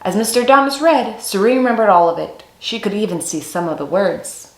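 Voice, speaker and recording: A young woman reading a children's picture book aloud as a storyteller, with deliberate, expressive phrasing in a small room. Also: mid-range voice. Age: young adult. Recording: roomy echo, faint music underneath.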